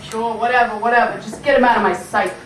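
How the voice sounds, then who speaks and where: A woman's voice: on the high side, steady tone, fast talker, a bit bright, close to an everyday voice. A woman playing a bossy, menacing female gangster boss in an amateur puppet show, with brash slangy talk. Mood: gloating menace. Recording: roomy, distant mic, faint noise.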